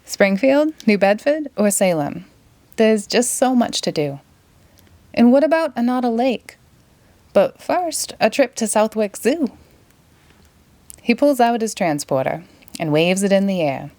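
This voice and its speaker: A woman with a Boston accent reading a rhyming children's story aloud in an expressive, playful way. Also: mid-range voice, measured pace, pauses often, no room sound. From Massachusetts, United States. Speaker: storybook reader.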